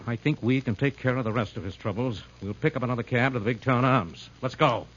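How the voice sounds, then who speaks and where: An actor playing a firm, protective investigator with clipped, authoritative delivery in a 1940s radio crime drama. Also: male voice, mid-range voice, quick pace, a bit bright, natural voice. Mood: brisk, commanding. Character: decisive, protective male crime-busting investigator, firm and brisk. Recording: muffled, hissy old radio broadcast.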